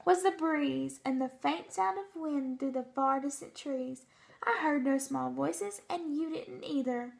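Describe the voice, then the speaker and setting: A haughty storybook kangaroo, acted out by a woman, bossy and full of scorn. The high voice snaps out each phrase with emphatic disapproval. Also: unhurried, gravelly. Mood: dismissive. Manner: snappish, emphatic.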